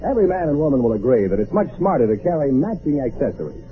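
A man's voice: fairly high pitch, very animated, quick pace. An American man announcing in a polished, salesmanlike, scripted old-time radio style. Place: radio studio. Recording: tinny narrowband vintage radio, faint music.

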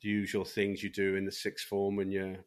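A man's voice: low-pitched, completely flat delivery, brisk, slight background noise. A middle-aged man with a Welsh accent, speaking candidly as a guest about his life, rambling with many restarts. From Wales.

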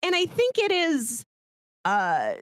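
A woman's voice: very high-pitched, huge pitch swings, slow, dry recording, clean studio sound. A woman co-hosting a podcast, talking casually and chattily about films, often laughing as she talks. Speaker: podcast co-host. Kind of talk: casual film discussion.

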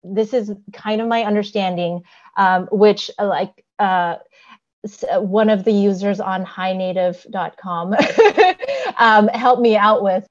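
A woman's voice: mid-range voice, animated, takes it slowly, highs cut off. A woman co-hosting a podcast, explaining things carefully in casual chat, with frequent "um"s and a giggly manner. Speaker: podcast co-host.

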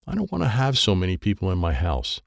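A man's voice: deep voice, huge pitch swings, fast talker, vocal fry, no room sound, clean studio sound. A man reading a children's audiobook in short, simple, childlike sentences. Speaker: audiobook narrator.

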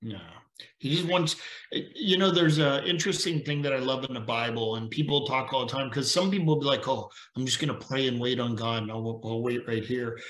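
A man's voice: mid-range voice, a little fast, fairly bright tone. A middle-aged man, a retired police officer, speaking as a podcast guest over a video call, telling stories in an earnest, preachy way. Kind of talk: casual conversation. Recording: roomy echo on a video call.

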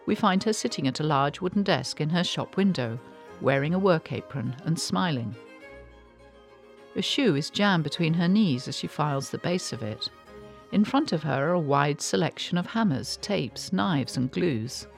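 Woman podcast host reading her script, calm, bit of fry in the voice, faint music. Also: sits low. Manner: calm, measured, descriptive. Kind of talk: scripted narration and interview questions.

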